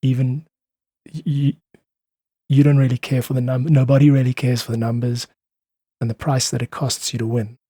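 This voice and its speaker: Guy chatting on a podcast, bit husky, earnest and thoughtful, really dry close sound. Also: medium pitch, level tone, normal speed, warm tone, no echo. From South Africa. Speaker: interview guest, independent musician. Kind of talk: reflective conversational podcast talk.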